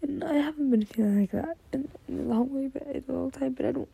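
Young adult woman, high, creaky, whispery voice; tearful, stammering, apologetic intimate delivery to a partner. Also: mellow, dry recording. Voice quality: breathy, whispery voice. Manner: halting, apologetic, stammering repetitions. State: anxious, tearful, close to crying. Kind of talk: intimate whispered monologue, audio roleplay.

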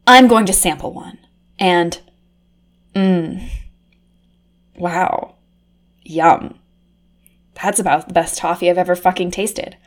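A woman voicing a flustered, easily aroused undercover reporter, swinging from hushed murmurs to shrill cursing. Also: slow pace, pauses often. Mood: mock-serious. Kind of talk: comedic erotic audio drama monologue.

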